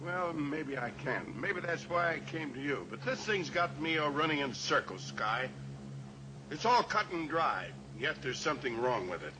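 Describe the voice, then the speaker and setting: An actor playing a gruff, stubborn small-town western sheriff in a 1950s radio drama, blunt and stubborn. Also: male voice, a little high, big pitch swings, moderate pace, background noise. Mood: troubled. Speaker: sheriff character.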